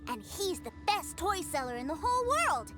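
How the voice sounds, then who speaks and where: A small boy in a video game, very high and childlike. He speaks with proud, trusting earnestness and lively rises and falls, with music behind. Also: moderate pace.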